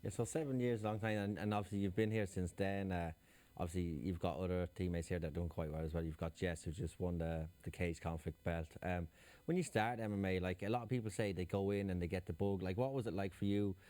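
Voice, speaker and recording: A man's voice: low voice, fairly even intonation, brisk, dark tone, no echo. A man hosting an interview, asking loose, run-on questions. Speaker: interviewer host.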